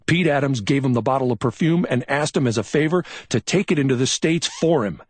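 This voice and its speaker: A man voicing a brusque, excitable male police officer who snaps out urgent reasoning. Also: mid-range voice, normal speed, slightly rough, no echo, clean studio sound, voice pushed up. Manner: snapping, urgent, scolding.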